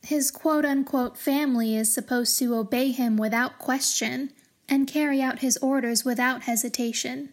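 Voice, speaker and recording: A woman's voice: high voice, steady tone, moderate pace. A woman hosting a podcast, talking through literary analysis in an explanatory way with wry, casual asides. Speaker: podcast host.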